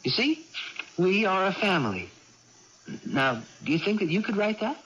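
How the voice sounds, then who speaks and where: An actor playing an earnest, patient young man, gently instructing his sister in a radio drama. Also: high-pitched, big pitch swings, moderate pace, full character voice. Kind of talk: 1980s radio drama acting. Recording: dull, hissy old radio broadcast recording.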